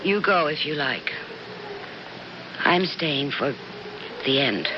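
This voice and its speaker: A muffled, noisy old radio drama features a wronged wife. She speaks very slowly, haltingly repeating herself, stunned yet bitter and unflinching. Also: deep voice, lively intonation. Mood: bitter hurt. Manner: halting, repeats words in shock. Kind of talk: 1970s radio drama acting.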